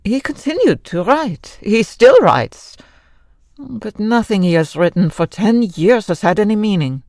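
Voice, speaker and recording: A woman playing a grieving, sorrowful Frenchwoman in an old-time radio drama, speaking formally and haltingly. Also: mid-range voice, sing-song, a little mellow, no room sound. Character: grieving Frenchwoman, wife of a mad composer, sorrowful.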